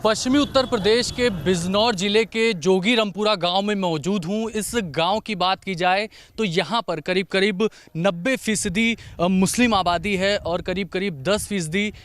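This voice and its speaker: A male television reporter speaks on location. His voice is high for a man and clear, and he moves between describing the scene and questioning residents. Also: unhurried, a bit bright, dry recording. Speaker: field news reporter. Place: outdoors in a village.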